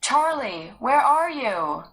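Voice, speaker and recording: Storybook girl, played by adult woman, distressed, high raised voice, long drawn-out calls into the distance. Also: very animated, a bit bright, exaggerated voice. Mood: anxious calling. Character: distressed girl searching and calling for a lost boy.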